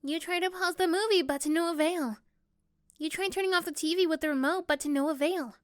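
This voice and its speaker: A woman's voice: very high voice, brisk, dry recording, clean studio sound. A woman reading scripted second-person story narration for a roleplay. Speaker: narrator and performer.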